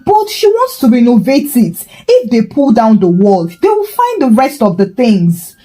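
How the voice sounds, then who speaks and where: A woman storyteller voicing a loud, panicky, scheming female tenant with a shrill, accusatory, dramatic delivery. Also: big pitch swings, measured pace. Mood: panicked scheming. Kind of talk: storytelling folktale character voice.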